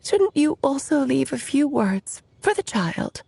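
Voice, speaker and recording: A woman's voice: high voice, big pitch swings, measured pace, creaky, mellow, full character voice. A woman voicing a playful, theatrical witch in a video game, teasing and amused.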